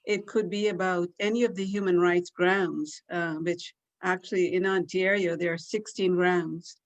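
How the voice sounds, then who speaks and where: Female guest, sixties, lowish voice, measured answers on a video call. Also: little pitch movement. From Guyana. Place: small room indoors.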